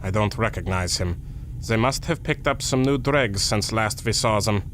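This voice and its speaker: A man playing a menacing villain master in an audio drama, speaking in a commanding, deliberate, threatening way. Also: fairly low pitch, fairly bright tone, a little hiss or hum, natural voice.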